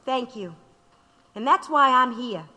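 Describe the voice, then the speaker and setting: Casting: fiery woman rally orator, audio drama; slow, swooping delivery, echoing hall. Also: high voice, expressive, a loud crowd. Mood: impassioned. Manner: impassioned, emphatic. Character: fiery, determined woman labor agitator rallying her comrades. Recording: long hall reverb.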